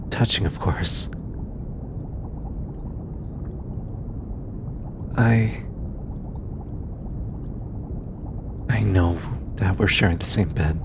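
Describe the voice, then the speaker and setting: A man voicing a shy, gentle young alien scientist in an intimate audio roleplay, speaking softly and hesitantly with stammers. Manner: hesitant, stammering, soft-spoken. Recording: clear low background rumble noise.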